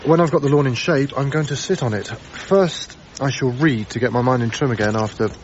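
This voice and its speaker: An actor playing a reserved, observant young lodger in a radio drama, narrating in a measured, literate way. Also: male voice, medium pitch, muffled recording, slight background noise, natural voice. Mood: polite, diffident. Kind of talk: radio drama first-person narration and dialogue.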